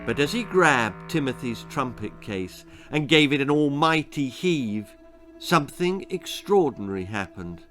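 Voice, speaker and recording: A man reading a children's story aloud as a dramatic, expressive narrator. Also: mid-range voice, a little slow, faint music behind. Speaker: author reading his own story.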